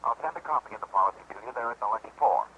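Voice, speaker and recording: An actor playing a matter-of-fact insurance man in an old radio drama, deliberate and businesslike. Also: medium pitch, lively intonation, close to an everyday voice. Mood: grave. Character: businesslike American insurance man, male, concerned. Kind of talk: 1950s radio drama acting. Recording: muffled, slightly noisy old radio recording.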